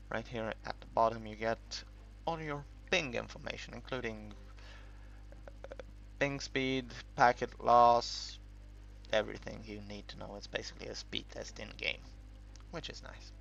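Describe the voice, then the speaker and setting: A man giving casual, unscripted game commentary in a halting, rambling way, as if thinking aloud while he explains things. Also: mid-range voice, steady tone, vocal fry, fairly bright tone, a little hiss or hum. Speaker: gaming video creator narrating a tutorial. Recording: dull lo-fi home recording, music behind.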